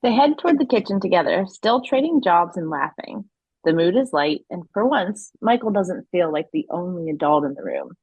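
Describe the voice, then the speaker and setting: A woman's voice: fairly low pitch, animated, moderate pace, slightly rough, no room sound, muffled recording. A woman narrating an audio play, reading scripted stage action and scene settings.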